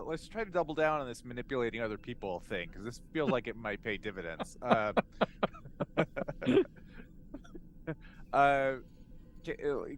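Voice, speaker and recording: A man's voice: medium pitch, fairly bright tone, a little hiss or hum. A man chatting casually as a player in a tabletop game, wry and joking, feeling his way through phrases. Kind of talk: casual improvised game chat.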